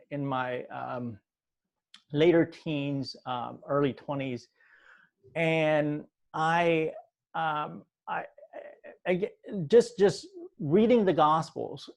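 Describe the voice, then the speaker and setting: A middle-aged man born in Vietnam, a theologian talking as a podcast guest in a halting way, with many fillers and restarts. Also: mid-range voice, gravelly. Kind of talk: casual interview talk.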